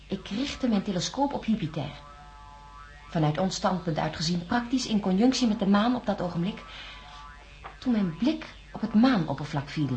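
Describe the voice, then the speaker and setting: An actress playing a troubled, guarded astronaut scientist in a science fiction radio drama, articulate and insistent. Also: female voice, mid-range voice, a little mellow, natural voice. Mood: recalling, insistent.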